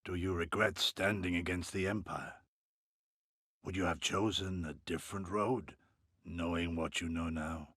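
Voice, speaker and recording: An actor playing a grave, commanding male warrior in a video game, with a gravelly, husky voice and solemn, deliberate delivery. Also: low-pitched, fairly even intonation, bright, forceful tone, no echo, studio quality, exaggerated voice. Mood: grave, questioning. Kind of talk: video game cutscene voice acting.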